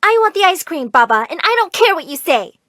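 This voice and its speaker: A headstrong young daughter, played by a woman storyteller, protests in a high, whiny voice. Her pitch jumps about as she insists on getting her way, in a dry story recording. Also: sing-song, normal speed, a bit bright, no echo. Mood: defiant. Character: strong-willed, indignant young daughter, spoiled but defiant.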